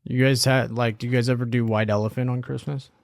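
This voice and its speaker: An easygoing young man from Southern California, talking through memories in relaxed podcast chat. His voice sits at a middle pitch and comes across dry and close, with no room sound around it. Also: fast, creaky, no echo. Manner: easygoing, reflective, says "like" often. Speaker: podcast co-host. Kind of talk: casual chat.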